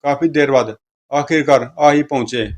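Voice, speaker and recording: A man's voice: mid-range voice, fairly even intonation, moderate pace, a little mellow. A man reading comic strips aloud as a story narrator, speaking the dialogue of each character. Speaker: comic book reader, narrator.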